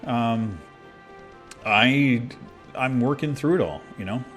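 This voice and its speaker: A man's voice: medium pitch, slow, a bit bright, soft background music. A man talking casually and candidly while playing a video game, rambling with many fillers and restarts. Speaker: gaming video creator. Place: abroad, recording at a computer.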